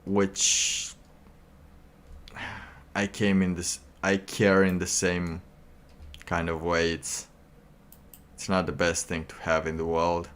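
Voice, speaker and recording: Low-pitched, slow-talking man livestreaming. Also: completely flat delivery, a bit bright, clean tone. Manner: halting, rambling delivery with trailing phrases. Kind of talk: casual livestream monologue.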